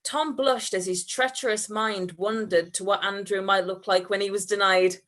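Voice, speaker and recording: A woman's voice: mid-range voice, fairly even intonation, normal speed, fairly bright tone. A woman giving a live reading of comic fiction, giggly and prone to breaking into laughter. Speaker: reader at a comedy event.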